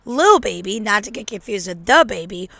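Slightly hoarse, brash woman podcaster. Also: medium pitch, expressive, moderate pace, sharp tone, no echo. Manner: brash, joking, tongue-in-cheek rambling. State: a little hoarse. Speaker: podcast host. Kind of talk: casual solo podcast monologue. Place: at home on a couch. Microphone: podcast microphone.

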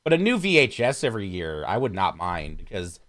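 Male podcast co-host, fairly deep voice, casual film talk, slangy, sweary and keen. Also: big pitch swings, brisk, fairly bright tone. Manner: profane, slangy, enthusiastic. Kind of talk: casual chat about films.

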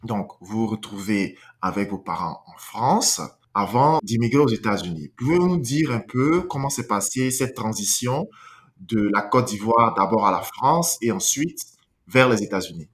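A man's voice: fairly low pitch, lively intonation, moderate pace. A man conducting an interview, asking formal and composed questions.

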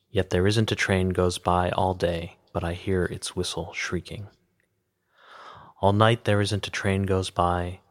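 Male poetry reader, low register, steady and restrained in melody. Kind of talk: poetry recitation.

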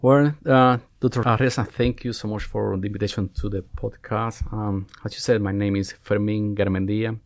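A man in his forties with a Cuban Spanish accent, a resident doctor being interviewed on a podcast, who often pauses and restarts as he searches for words. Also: lowish voice, steady tone, no echo. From Cuba. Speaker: guest, family medicine resident.

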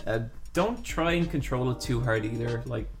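An Irish man chats on a podcast in a jokey, emphatic way, his voice at an ordinary middle pitch. Music sounds clearly behind him throughout. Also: normal speed. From Ireland. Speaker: podcast co-host. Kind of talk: casual chat.

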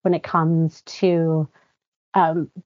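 Podcast guest, young woman from Guatemala, earnest and chatty, lowish voice, dry muffled sound. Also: little pitch movement, very slow, a little mellow, no room sound. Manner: earnest, chatty, many fillers. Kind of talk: conversational interview. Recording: dry, slightly muffled audio.

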